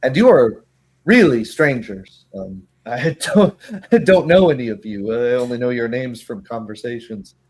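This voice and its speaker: A man voicing a grateful, humble woman who works at a dairy, speaking politely and haltingly in a tabletop game. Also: mid-range voice, expressive, a little mellow, lightly acted. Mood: grateful, apologetic.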